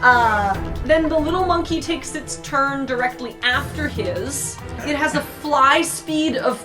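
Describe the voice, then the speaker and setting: A woman's voice: high-pitched, unhurried, music in the background. A woman playing in a tabletop roleplaying game, casually describing what her character does.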